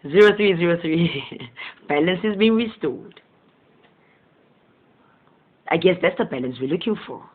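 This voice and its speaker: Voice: medium pitch, animated, unhurried, pauses often. Host, tinny phone-like sound. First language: Southern Sesotho. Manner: rambling, chuckling often, gentle and earnest. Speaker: host of a motivational channel. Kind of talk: casual spiritual monologue.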